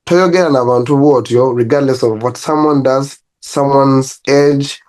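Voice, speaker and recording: Ugandan man telling relaxed stories. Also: mid-range voice. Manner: relaxed storytelling with laughs and restarts. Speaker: guest, personal trainer. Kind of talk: casual interview.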